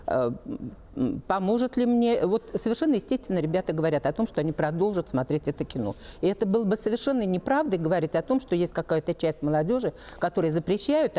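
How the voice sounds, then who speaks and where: A woman in her sixties giving opinions as a talk show panel guest, emphatic and halting, repeating phrases for stress. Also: lowish voice, mellow. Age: 60s. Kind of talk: opinionated panel discussion, unscripted. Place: TV studio with seated panel.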